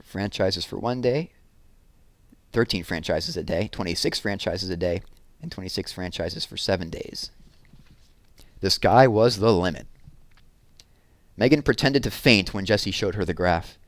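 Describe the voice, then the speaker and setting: A man's voice: deep voice, measured pace, pauses often, no echo. A man reading a children's book aloud as a storyteller.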